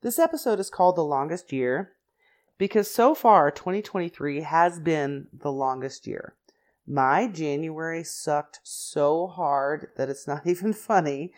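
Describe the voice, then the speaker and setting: A woman hosting her own podcast, chatting casually and rambling with asides, her voice a little raspy and congested from a recent flu. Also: deep voice, unhurried, darkish tone. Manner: chatty and rambling, many "like" and "you know" asides. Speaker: podcast host.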